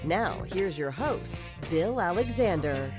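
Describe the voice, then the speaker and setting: A woman's voice: on the low side, expressive, takes it slowly. A female announcer reading a scripted show introduction in an emphatic, promotional way. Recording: voice-over with music behind.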